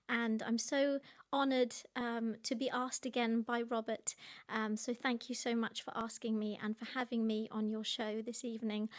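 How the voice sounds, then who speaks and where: Woman singer chatting between songs on a live stream, high-ish voice, polite and gracious. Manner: polite and gracious, thanking the audience. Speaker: classical singer. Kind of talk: introducing a song on a livestream concert.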